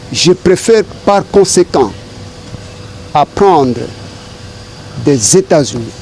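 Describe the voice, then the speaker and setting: Man, middle-aged, from Congo, very slow, dark mellow tone, formal and measured, faint background hiss. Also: mid-range voice, poor audio. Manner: deliberate, formal, rhetorical phrasing. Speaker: interview guest. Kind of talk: formal measured interview answers.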